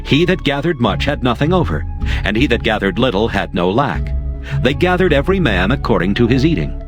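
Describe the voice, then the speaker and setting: A man with a mid-pitched voice narrates scripture as formal storytelling. His delivery is solemn yet moves expressively up and down, with faint music behind. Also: very animated, fairly quick.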